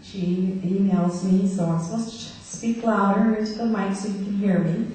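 A middle-aged woman, soft-spoken and hesitant with many fillers, introducing a guest at a chapel service from a podium. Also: medium pitch, steady tone, normal speed. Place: hall stage with curtains. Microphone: podium mic, far camera. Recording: echoey hall from far off, faint noise.

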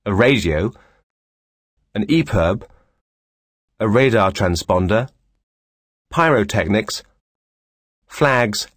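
A man reading scripted instructional narration as a course instructor, measured, formal and clearly enunciated. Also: lowish voice, animated, no echo, clean studio sound.

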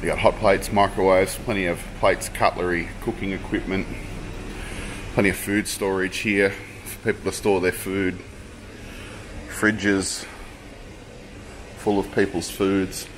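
A man's voice: deep voice, unhurried, pauses often, low-quality recording. A man, casually narrating a travel vlog and listing features one by one. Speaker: travel vlogger. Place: hostel interior and city street outdoors. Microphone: handheld camera at arm's length. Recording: steady background noise.

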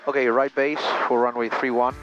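A man's voice: mid-range voice, little pitch movement, a little fast, dull audio. Male pilot on a cockpit headset. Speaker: pilot and vlogger. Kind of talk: cockpit commentary and radio calls. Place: small light aircraft cockpit in flight. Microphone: aviation headset boom mic. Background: music, engine and radio sounds.

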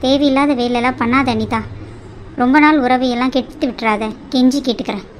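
A firm older matriarch from a family melodrama acted by a woman, high in pitch. She admonishes and advises with protective authority, over faint background noise. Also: steady tone, a little fast, natural voice. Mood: scolding.

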